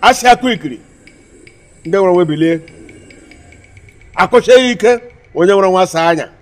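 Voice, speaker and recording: A man's voice: on the high side, big pitch swings, a little slow, breathy edge, soft background music, exaggerated voice. An actor with a Nigerian accent playing an emotional man in a film drama, by turns tender and commanding. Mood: fierce, commanding.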